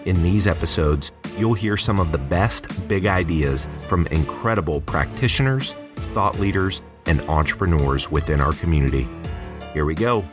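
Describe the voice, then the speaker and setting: Deep-voiced male announcer over music. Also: expressive, moderate pace. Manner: smooth, announcer delivery. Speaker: podcast announcer. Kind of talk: scripted intro narration.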